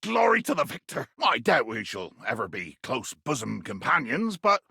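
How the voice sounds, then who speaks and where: An actor playing a tiny, fierce, pompous pirate captain in a video game, with a raspy, gravelly voice and grandiloquent, blustering delivery. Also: male voice, medium pitch, huge pitch swings, moderate pace, pushed and bright, dry recording, clean studio sound, heavily acted. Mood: wounded, solemn. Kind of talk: theatrical video game character acting.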